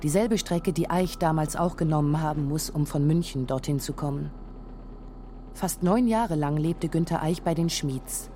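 Low, mellow woman narrating a documentary. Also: normal speed, slight background noise. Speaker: narrator of a radio feature.

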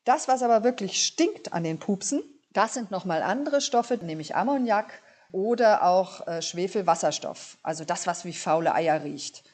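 A woman's voice: medium pitch, animated, normal speed, darkish tone. Woman expert explaining science simply. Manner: clear step-by-step explaining in everyday words. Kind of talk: explanatory interview answers for children.

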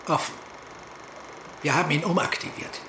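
A man playing a guarded, earnest East German Stasi colonel in a dramatised dialogue, answering in a clipped, evasive way with a very husky voice. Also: a little high, fairly even intonation, normal speed, reverberant, noisy background, lightly acted. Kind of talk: dramatised reading of a literary dialogue.

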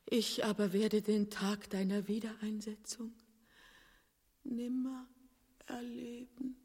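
A woman's voice: fairly high pitch, flat delivery, slow, darkish tone. A woman playing a frail, grieving queen mother, lamenting theatrically in a grotesque stage comedy. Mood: wistful, lamenting. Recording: very reverberant hall.

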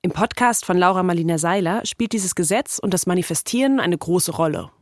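A woman's voice: lowish voice, lively intonation, brisk. A woman co-hosting a documentary podcast, reading scripted narration.

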